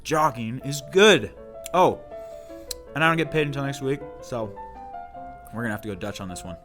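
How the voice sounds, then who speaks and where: A man's voice: medium pitch, expressive, moderate pace, music in the background, close to an everyday voice. A man playing a sloppy, stingy boyfriend in an audio drama, nagging in a patronizing way. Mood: condescending.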